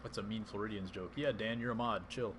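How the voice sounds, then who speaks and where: A man with a fairly low voice talks loosely over his own baseball video game, reacting to plays and answering viewers in chat. The stream audio carries a clear layer of background noise under his voice. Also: steady tone, a little fast. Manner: chatty, reads and answers chat while playing. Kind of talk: casual live-stream commentary.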